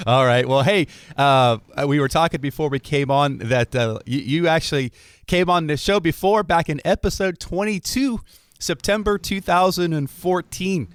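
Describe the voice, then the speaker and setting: A man's voice: mid-range voice, moderate pace, smooth, no echo. Guy from ohio hosting a podcast, laid back, lots of ums, mid voice, dry close sound. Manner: relaxed, many fillers and restarts. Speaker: podcast host. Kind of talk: casual interview chat.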